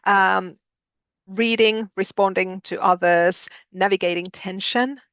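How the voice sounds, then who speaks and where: A woman with a Finnish accent giving a webinar presentation, hesitant with many pauses and restarts. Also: medium pitch, fairly even intonation, fairly bright tone, no room sound. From Finland.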